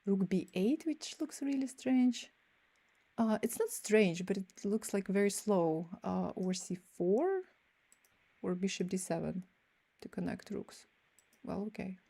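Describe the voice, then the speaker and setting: A woman's voice: medium pitch, creaky, warm tone, breathy edge. A woman with a Russian accent, a native Russian speaker, streaming chess and thinking aloud over her moves in casual commentary. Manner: thinks aloud while playing fast games.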